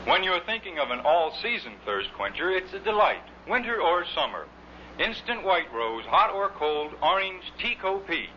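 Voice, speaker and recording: Bright, forceful male ad announcer. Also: high-pitched, big pitch swings, normal speed. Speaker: commercial announcer. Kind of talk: vintage radio advertisement read. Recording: dull, faintly noisy vintage radio recording.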